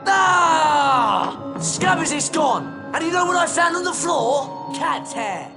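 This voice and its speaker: Teenage boy wizard played by an actor, English accent, indignant, blunt, lively swooping delivery, video game scene over loud music. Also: sits high, takes it slowly, full character voice. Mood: indignant, upset. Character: indignant, hot-headed teenage wizard schoolboy. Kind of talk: video game cutscene acting.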